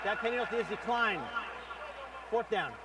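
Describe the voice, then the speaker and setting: A man's voice: sits high, moderate pace, over a noisy crowd. A man announcing penalties as a football referee, terse and formal, over stadium speakers. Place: outdoor stadium. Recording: distant stadium PA, very noisy.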